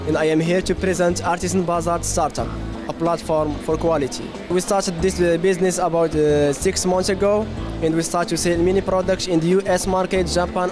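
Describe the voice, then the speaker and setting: A slightly high-voiced man from Morocco presents his startup carefully and haltingly. Music plays clearly beneath him. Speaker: startup founder. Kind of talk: interview pitch. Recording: music bed underneath.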